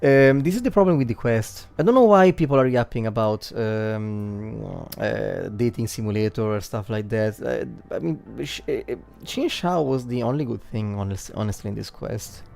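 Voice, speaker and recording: A man livestreaming game commentary and analysis, thinking aloud haltingly and repeating words as he works out his points. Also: lowish voice, lively intonation, gravelly, darkish tone.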